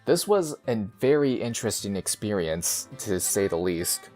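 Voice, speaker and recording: A man's voice: fairly low pitch, sing-song, normal speed, a little mellow. A man hosting a gaming video, giving casual, informal playthrough commentary and reading aloud. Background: music.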